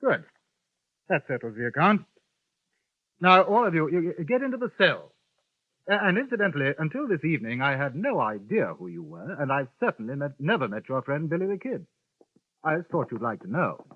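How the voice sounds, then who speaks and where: Calm and courteous, this gentleman gunman speaks with dry irony, his voice sitting a little high and swinging widely in pitch. The old radio recording is tinny and narrow, like a phone line. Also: brisk. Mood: coolly ironic. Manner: dry, courteous, coolly ironic. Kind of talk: 1950s radio drama acting.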